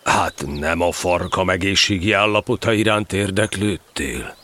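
An actor playing a gloomy, self-pitying donkey in a children's audio drama, grumbling in a mournful, dryly sardonic way. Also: male voice, sits low, pushed and bright. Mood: sarcastic resignation. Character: gloomy, self-pitying old grey donkey, long-suffering and sarcastic.

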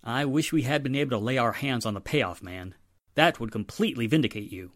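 A woman acting a steady, earnest male police inspector in a 1940s-style radio drama. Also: a bass voice, brisk, dry recording, clean studio sound. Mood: businesslike.

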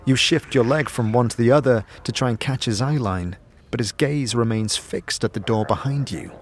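A man narrating a fantasy audio drama in the second person, with a measured, descriptive storytelling delivery. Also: mid-range voice. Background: background music.

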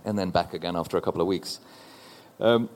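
Low-voiced Norwegian man telling a story. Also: darkish tone. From Norway. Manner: thoughtful, halting with repeated restarts. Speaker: panel guest. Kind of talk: reflective personal storytelling. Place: stage before a live audience.

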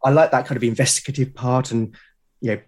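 A British man talking casually as an interview guest, explaining things haltingly and often repeating his words. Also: mid-range voice, steady tone, darkish tone. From Britain. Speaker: podcast guest, game master and podcast creator. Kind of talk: casual conversational interview talk.

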